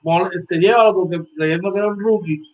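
A man talks sports in a lively, slangy way, looping back over his own phrases. His voice sits a touch high for a man. The call audio is muffled and lo-fi. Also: brisk. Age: 40s. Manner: animated, repeats phrases, slangy. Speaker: podcast co-host. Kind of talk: casual sports chat.

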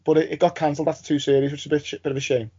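A podcast host, a man, chats casually. His voice sits a little high yet is soft-edged and mellow, with slightly lo-fi sound. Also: flat delivery, very fast, husky. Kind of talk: casual chat. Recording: slightly dull, lo-fi audio.

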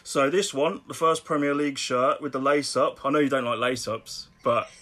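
A middle-aged man with a Birmingham accent, chatting casually as a podcast guest, laughing often and repeating words when excited. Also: medium pitch, normal speed. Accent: Birmingham English. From Birmingham, England. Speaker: guest artist.

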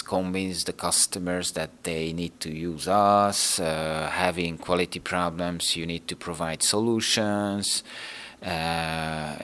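Man, English, very low and slow, crisp bright tone, hesitant interview guest. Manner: hesitant, many fillers and restarts. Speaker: interview guest, team manager. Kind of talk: casual interview talk.

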